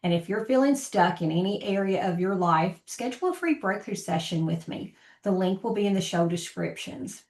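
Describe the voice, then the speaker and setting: A woman's voice: medium pitch, animated, normal speed, few pauses, darkish tone. Encouraging woman host in a roomy space. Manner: encouraging, affirming interjections. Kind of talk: podcast interview hosting. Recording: roomy echo.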